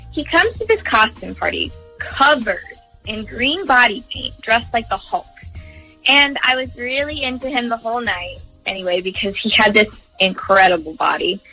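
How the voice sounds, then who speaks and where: Bright young woman calling in. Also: a little high, poor audio. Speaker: phone guest on a radio show. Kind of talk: casual storytelling.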